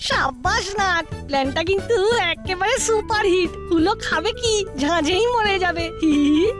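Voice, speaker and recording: Sly little cartoon boy schemer, extremely high squeaky voice, mock-concerned, over prominent music. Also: expressive, normal speed. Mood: scheming glee. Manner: mock-concerned, conspiratorial tattling. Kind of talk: children's cartoon dubbing.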